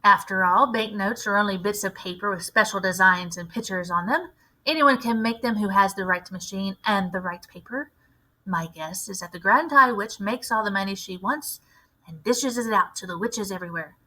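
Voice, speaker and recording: A stern yet protective old grandmother, voiced by a woman reader. She speaks firmly and with measure in a bright storybook delivery. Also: medium pitch, moderate pace, rough recording. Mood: stern certain.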